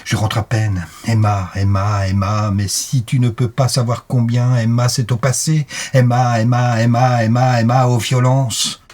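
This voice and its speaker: A man's voice: mid-range voice, little pitch movement, a little slow, few pauses, darkish tone. A man reciting poetry dramatically, in short, insistent, incantatory phrases. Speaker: poetry reader.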